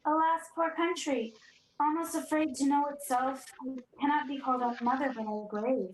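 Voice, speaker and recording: A young woman plays a grave, sorrowful messenger in a Shakespeare radio drama. The voice is fairly high and rings in a very echoey room. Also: steady tone, normal speed, slight background noise, close to an everyday voice. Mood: mournful. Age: young adult. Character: sorrowful noble messenger bearing dreadful news. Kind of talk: 1940s radio play Shakespeare acting.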